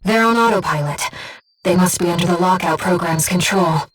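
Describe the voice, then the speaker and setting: A woman voicing a calm, earnest, gentle disembodied female guide for a pilot in a video game, with measured, precise delivery. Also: on the low side, slightly rough, recorded from a distance. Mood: calm briefing. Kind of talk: scripted video game voice acting, mission support.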